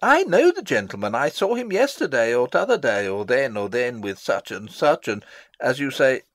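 A man's voice: mid-range voice, big pitch swings, normal speed, fairly bright tone, dry recording. An actor playing a fussy, long-winded old father and royal counsellor in a theatrical Shakespeare reading, pompous and rambling. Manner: rambling, pompous, theatrical, losing his thread. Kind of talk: dramatic reading of a Shakespeare play.

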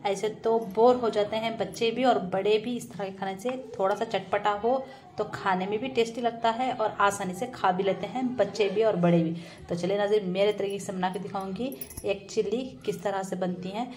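A woman cooks in her home kitchen, talking viewers through each step in an easygoing, friendly manner. Her voice sits at an ordinary middle pitch, picked up by a phone held over the stove. The sizzle of frying and the scrape of a spoon run clearly behind her. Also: fairly even intonation, normal speed, darkish tone, slight background noise. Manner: friendly, addresses viewers as nazreen, frequent religious blessings. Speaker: cooking vlogger. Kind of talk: casual cooking tutorial narration.

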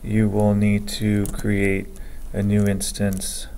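A man teaching a programming lesson over a screen recording, speaking slowly and haltingly as he reads code aloud. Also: fairly low pitch, completely flat delivery, warm tone. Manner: slow, halting, dictates code aloud with many pauses. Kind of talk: screen-recorded coding tutorial.

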